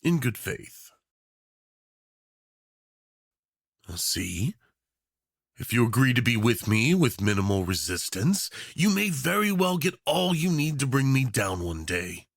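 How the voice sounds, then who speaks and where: A man's voice: mid-range voice, very animated, unhurried, vocal fry, dry recording, studio quality, exaggerated voice. A man playing a charming, obsessive tyrant in a romantic villain roleplay, drawling theatrically with mock politeness, flirtation and playful menace. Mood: coaxing, intimate.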